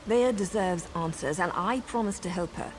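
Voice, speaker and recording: An actress playing a sardonic, self-assured assassin in a video game, with a teasing, wry way of talking. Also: female voice, lowish voice, moderate pace, faint music behind, lightly acted, low-quality recording. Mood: firm, serious. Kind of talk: video game character acting.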